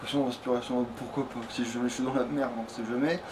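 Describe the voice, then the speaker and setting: A man's voice: mid-range voice, flat delivery, fast. A man giving casual let's play commentary, thinking aloud in slangy, crude language. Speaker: let's player. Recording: faint background noise.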